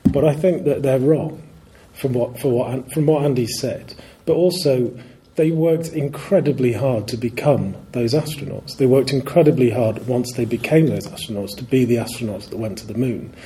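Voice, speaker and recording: A man from the audience making an earnest, measured point. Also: mid-range voice, mellow, rough recording. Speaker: audience member.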